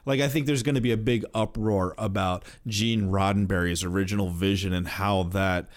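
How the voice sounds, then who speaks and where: A man's voice: on the low side, fairly even intonation, normal speed. A man co-hosting a podcast, giving casual opinions emphatically and often repeating himself. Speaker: podcast co-host.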